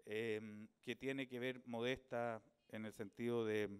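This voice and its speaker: A middle-aged Chilean man speaks in a smooth, resonant voice at an ordinary middle pitch. He gives a reflective talk about his book, thoughtful and unhurried, pausing to search for words. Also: level tone. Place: book fair event.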